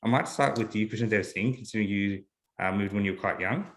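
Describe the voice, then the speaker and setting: A man who grew up in Australia, hosting a casual panel chat and asking relaxed questions. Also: lowish voice, fast. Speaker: host.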